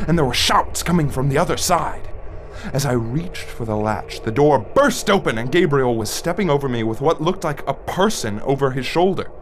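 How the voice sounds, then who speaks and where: A man acting a wistful father who recounts a dark memory to his child in a conversational, reflective monologue with dramatic pauses. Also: mid-range voice, a little hiss or hum, close to an everyday voice. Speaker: narrator of an audio play. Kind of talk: first-person dramatic monologue, storytelling. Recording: music under the voice.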